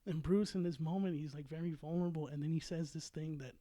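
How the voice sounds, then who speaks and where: A young man co-hosting a podcast in casual chat, animated and swearing freely. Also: on the high side, fast, dry recording. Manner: animated, swears freely, acts out film quotes. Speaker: podcast co-host.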